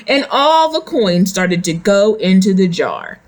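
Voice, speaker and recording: A woman reads a picture book aloud to young children at an unhurried pace. Her pitch moves up and down in a lively, expressive way, and she addresses the listeners directly in an explaining manner. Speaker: children's story reader.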